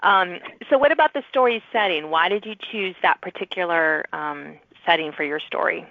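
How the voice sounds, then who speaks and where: A woman's voice: on the low side, normal speed, no echo, phone-quality sound. A woman hosting a casual interview show, informal and eager in how she reacts to answers. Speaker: podcast host interviewing authors.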